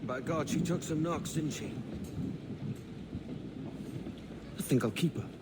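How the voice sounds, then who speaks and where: An actor playing a roguish, ambitious young pirate captain in a video game, with a Welsh accent and boastful, old-fashioned seafaring speech. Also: male voice, fairly high pitch, a little fast, heavy background noise, close to an everyday voice. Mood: proud, hearty. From Wales. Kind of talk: video game cutscene acting.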